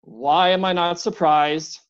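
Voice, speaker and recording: Storybook figure: narrator playing an irritable historian, short and sharp, lowish voice, dull recording. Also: female voice, fairly even intonation, slow pace, smooth. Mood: curt suspicious. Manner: curt, snapping, suspicious. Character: irritable wary male historian.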